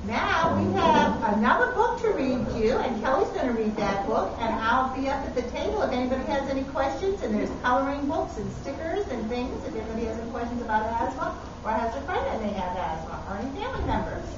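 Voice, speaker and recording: A woman reading a picture book aloud to children at a live event, with an animated, drawn-out storybook delivery. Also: high-pitched. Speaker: story reader at a children's health puppet show. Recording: echoey, muffled, noisy room, distant mic.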